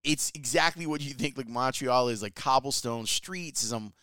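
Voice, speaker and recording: A man's voice: mid-range voice, moderate pace, pushed and bright, smooth, dry recording. A man casually telling a travel story in a chatty, enthusiastic way, using slang. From Chicago, US. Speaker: sports reporter.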